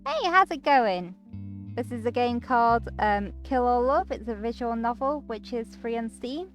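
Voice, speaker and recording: A woman's voice: fairly high pitch, animated, normal speed, soft background music. A woman streaming a game, chatting casually to viewers as she plays. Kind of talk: casual livestream commentary.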